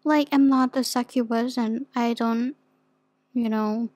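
Woman playing a soft, slow-talking naive demon girl. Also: fairly high pitch, fairly even intonation, dry recording, natural voice. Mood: shy, insecure. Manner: hesitant, innocent, gently questioning. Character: soft, naive, insecure young female demon. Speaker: ASMR roleplay performer. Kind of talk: soft ASMR roleplay.

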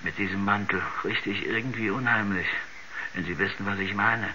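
An actor playing a rambling, uneasy village shopkeeper who stammers and repeats himself in a 1970s radio drama. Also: male voice, fairly low pitch, steady tone, moderate pace, bright, forceful tone. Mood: hesitant, rambling. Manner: hesitant, stammering, repetitive, rambling. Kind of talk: 1970s science-fiction radio drama acting. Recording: dull, noisy 1970s radio recording.

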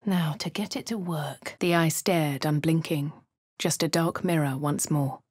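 A woman voicing a posh young female adventurer with a posh English accent in game voice lines, switching between quiet inner narration, hushed whispers and shouted spell incantations. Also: sits low, steady tone, a little slow, husky, no echo, studio quality. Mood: brooding.

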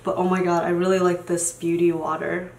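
A woman's voice: lowish voice, little pitch movement, brisk, a little mellow, echoey room. A young woman in her twenties, a beauty vlogger whose first language was Chinese, chatting in a rambling, casual way at a camera in a small room. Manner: chatty, rambling, trails off into mumbles. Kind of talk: casual chat.

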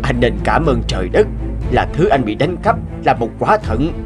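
A man's voice: medium pitch, big pitch swings, moderate pace, music in the background, close to an everyday voice. A man voicing a middle-aged hospital doctor in a cartoon, explaining things bluntly. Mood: grave.

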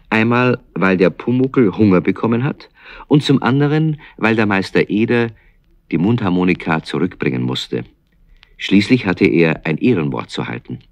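Very deep, lively male storyteller. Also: no room sound. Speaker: narrator. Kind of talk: storytelling narration for a children's radio play.

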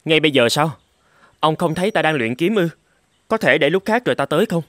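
A man voicing a brisk, impatient young samurai, the second son in a cartoon. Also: fairly high pitch, lively intonation, no echo, studio quality. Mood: annoyed. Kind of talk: dubbed cartoon storytelling. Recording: loud music under the voice.

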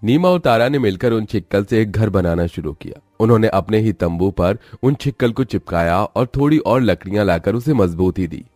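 A man's voice: fairly low pitch, lively intonation, moderate pace, few pauses, darkish tone, no room sound, studio quality, faint music behind. A man narrating a scripted moral story as a storyteller.

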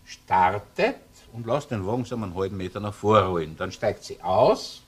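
Actor as shrewd Viennese police inspector. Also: male voice, on the low side, animated, normal speed, natural voice. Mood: reasoning, businesslike. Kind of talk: German-language radio crime drama acting.

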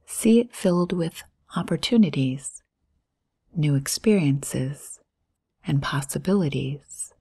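A woman with a breathy, whispery voice leading a guided meditation in a soothing, unhurried way, in short phrases with long pauses. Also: low-pitched, lively intonation, dark tone.

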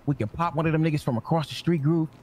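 A man's voice: on the high side, rapid-fire, a little mellow, slight background noise. An American man bantering in slang as a gang member in an online game, brash and insistent, often repeating himself.

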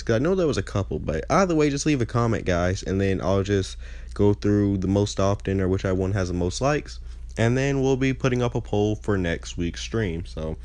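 Young guy w a stuffy nose rambling about his channel, kinda low voice, dead dry room. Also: darkish tone, dry recording. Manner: rambling, loses his train of thought. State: congested. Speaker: gaming channel host. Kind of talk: casual chat over gameplay.

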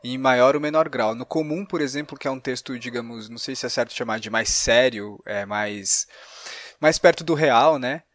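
A man's voice: mid-range voice, normal speed, a bit bright, no room sound. A man with a Brazilian accent interviewing as a podcast host, in a thoughtful, informal conversational way.

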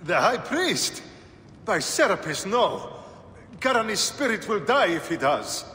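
Game role: wry lawman, weary and out of his depth, man, high bright voice, slightly halting delivery. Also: big pitch swings, a little hiss or hum, exaggerated voice. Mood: alarmed. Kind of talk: dramatic game cutscene dialogue.

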